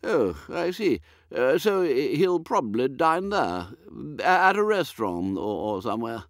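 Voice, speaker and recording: A man's voice: mid-range voice, very animated, unhurried, dry recording, studio quality, pitched above the performer's own voice. A man voicing a vague, bumbling elderly British earl who hesitates and repeats himself, in an audiobook. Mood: vaguely curious. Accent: British English.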